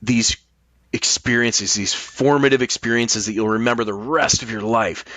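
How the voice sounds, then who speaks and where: A man's voice: medium pitch, a bit bright, dry recording. A man chatting casually as a podcast host, explaining his opinions emphatically and at length, with plenty of swearing. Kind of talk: casual chat.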